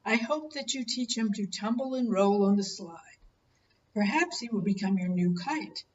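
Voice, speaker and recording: A woman voicing a gentle, noble male monarch butterfly in a storybook read-aloud, speaking calmly and kindly. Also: medium pitch, normal speed, far from the mic, dull audio, slight background noise, lightly acted.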